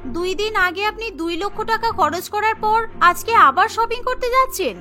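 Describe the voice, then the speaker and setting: A woman's voice: very high voice, expressive, moderate pace, bright, forceful tone, soft background music, pitched above the performer's own voice, heavily acted. A woman doing a scolding young daughter-in-law. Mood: reproachful. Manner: reproachful, questioning.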